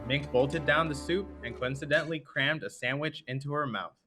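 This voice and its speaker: A man's voice: fairly high pitch, moderate pace, loud music behind the voice, natural voice. A young man narrating a scripted radio play as its storyteller. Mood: storytelling.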